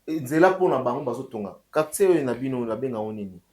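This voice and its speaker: A man on a talk panel who speaks haltingly and stumbles over his words. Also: mid-range voice, expressive, darkish tone, reverberant. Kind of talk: casual panel chat.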